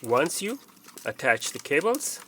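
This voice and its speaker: A man's voice: fairly high pitch, very animated, measured pace, a bit bright, slight background noise. A man giving a casual, step-by-step installation walkthrough, explaining plainly and often repeating his instructions. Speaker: technician presenting a how-to tutorial.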